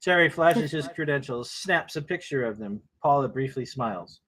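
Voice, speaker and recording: A man's voice: mid-range voice, lively intonation, normal speed, sharp tone. A man reading screenplay action lines as the narrator at an informal table read.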